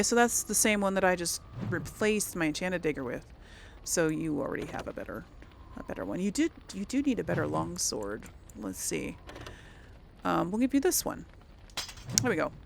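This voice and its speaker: A woman's voice: fairly low pitch, vocal fry, a little hiss or hum. A woman hosting a casual let's play, rambling and thinking aloud as she comments on the game. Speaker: gaming video host.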